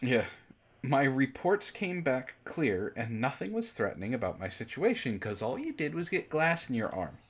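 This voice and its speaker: A man with a husky voice reading a story dramatically and breaking in with sarcastic, exasperated commentary. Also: medium pitch, sing-song, moderate pace. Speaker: book club reader and commentator.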